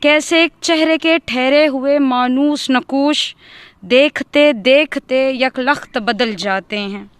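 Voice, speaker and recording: A woman giving a dramatic recitation of a poem, deliberate and leaning on repeated phrases for emphasis. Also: sits high, a bit bright, clear, resonant tone, no room sound. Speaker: poetry reciter.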